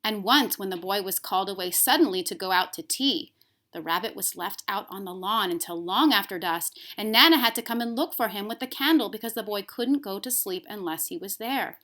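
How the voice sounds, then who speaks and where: A woman reading a children's story aloud as a teacher, expressive, with emphasis on key words. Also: mid-range voice, fairly quick.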